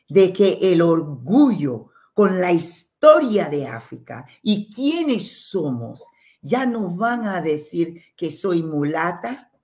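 Brief: middle-aged San Andrés-accented woman, low and unhurried, impassioned guest on a home video call. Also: warm tone. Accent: San Andrés island. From Colombia. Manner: impassioned, emphatic, dropping code-switched English asides. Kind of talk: interview over video call. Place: home room.

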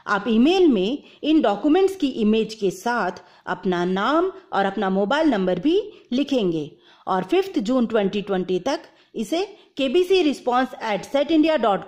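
A woman giving an explanatory tutorial voice-over step by step, mixing English terms into her speech. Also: fairly high pitch. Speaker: video presenter explaining instructions.

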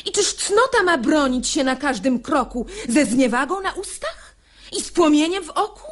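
An actress playing a poised, shrewd, exasperated wife in a verse comedy radio play, with theatrical, ironic diction. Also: female voice, sits high, lively intonation, moderate pace, fairly bright tone. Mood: indignant, ironic.

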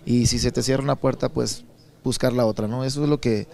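Gravelly Sinaloan man, rambling answers. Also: medium pitch, brisk. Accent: Sinaloan Mexican Spanish. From Mexico, Sinaloa. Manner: relaxed, rambling, many repeated words and fillers. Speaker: songwriter and singer. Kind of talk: casual interview answers. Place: busy expo booth indoors. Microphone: handheld interviewer mic.

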